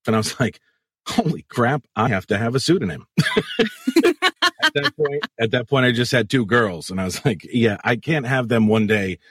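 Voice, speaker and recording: A man's voice: mid-range voice, moderate pace, fairly bright tone, slightly rough, no echo, studio quality. A man hosting a casual podcast, joking crudely and swearing a lot while interviewing his guest. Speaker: podcast host.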